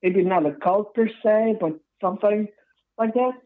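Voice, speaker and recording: A woman's voice: medium pitch, moderate pace. Woman calling in over a tinny phone line, polite, kinda hesitant. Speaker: caller. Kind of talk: phone call-in.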